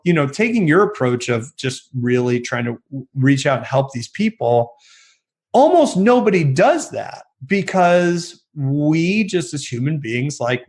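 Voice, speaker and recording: A man hosting a podcast, sounding hoarse and a little raspy from being sick, chatting in an upbeat, casual way with lots of fillers. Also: mid-range voice. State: hoarse, recovering from illness. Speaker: podcast host. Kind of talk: casual interview and outro.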